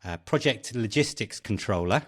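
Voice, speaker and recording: A middle-aged British man speaks as an interview guest. His voice is fairly low, and he talks in a casual, anecdotal way. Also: animated, normal speed, slightly rough. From Britain. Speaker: podcast guest, tech founder.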